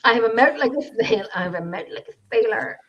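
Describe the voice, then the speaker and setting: A woman's voice: fairly high pitch, expressive, quick pace, crowd noise. A chatty, giggly woman with an Irish accent, co-hosting a casual live-stream chat over a home computer microphone. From Ireland. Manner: giggly, chatty, self-deprecating. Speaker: podcast co-host. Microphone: home computer microphone on a video call. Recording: dull lo-fi online call audio.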